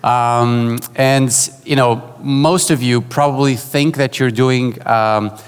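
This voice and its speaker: A man from Portugal giving a conference keynote, relaxed and joking, with many fillers and restarts. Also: mid-range voice, slow, clean tone. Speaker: keynote speaker. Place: large conference hall. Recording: hall reverb.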